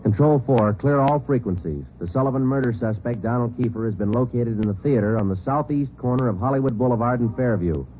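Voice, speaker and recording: A man's voice: medium pitch, fairly quick, hardly any pauses, a little hiss or hum, close to an everyday voice. An actor playing a terse male police sergeant who narrates the case, clipped and matter-of-fact in 1940s radio drama style. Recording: tinny old radio broadcast.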